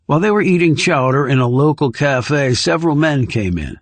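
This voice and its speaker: Measured man narrating, close and dry. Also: fairly high pitch, lively intonation, no echo. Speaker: audiobook narrator. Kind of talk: scripted thriller narration.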